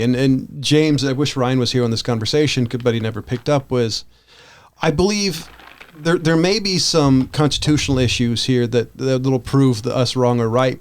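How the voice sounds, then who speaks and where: An American man with a mid-pitched voice co-hosts a casual podcast. He talks through his opinions in a rambling way, often stopping and doubling back on his phrasing. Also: moderate pace. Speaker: podcast co-host.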